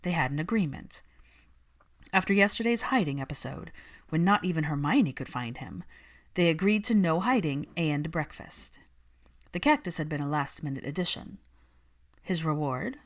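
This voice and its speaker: A woman's voice: low-pitched, expressive, normal speed, pauses often. A woman reading scripted fiction aloud as an audiobook narrator.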